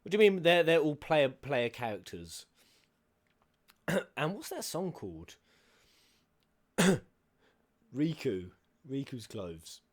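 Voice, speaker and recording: A man hosting a reaction video, excitable and swearing, shouting at the screen and at times dropping to a whisper. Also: medium pitch, takes it slowly, long pauses. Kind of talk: live reaction commentary. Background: game cutscene audio and music.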